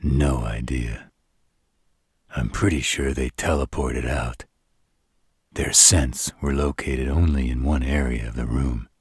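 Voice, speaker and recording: Exasperated, loyal male shapeshifter acted by a man, colloquial, unhurried, very low register, close dry audio drama sound. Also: steady tone, slightly rough, no room sound, exaggerated voice. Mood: matter-of-fact. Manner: blunt, colloquial, sardonic. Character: gruff, wisecracking male shapeshifter bodyguard, loyal but exasperated.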